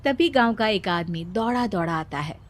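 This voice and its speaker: A woman narrating a cartoon story in a calm, storytelling way. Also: mid-range voice, normal speed, no echo.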